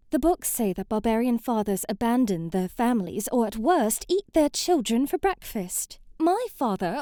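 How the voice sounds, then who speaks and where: Woman acting a haughty, high-voiced young princess. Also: warm tone, no room sound, clean studio sound. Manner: prim, imperious, old-fashioned formal wording. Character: haughty, sheltered young princess, prim, bratty, easily flustered. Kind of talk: scripted ASMR roleplay acting.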